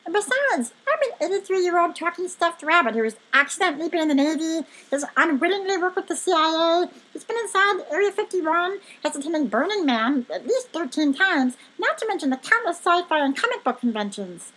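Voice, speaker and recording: A woman voicing an elderly male stuffed rabbit, a chatty, excitable storybook adventurer who tells his tale with exclamations. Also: very high-pitched, moderate pace, voice pushed up, heavily acted, poor audio. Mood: excited, bewildered.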